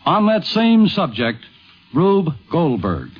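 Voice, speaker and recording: Higher-voiced, lively male radio narrator. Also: takes it slowly, breathy edge, soft background music. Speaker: documentary narrator. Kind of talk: scripted 1950s radio narration. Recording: muffled 1950s radio recording.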